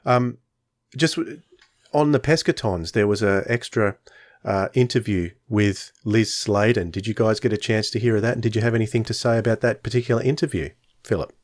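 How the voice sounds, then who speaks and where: A man's voice: fairly low pitch, normal speed, no room sound, studio quality. A man hosting a casual discussion podcast in a conversational way. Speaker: podcast host.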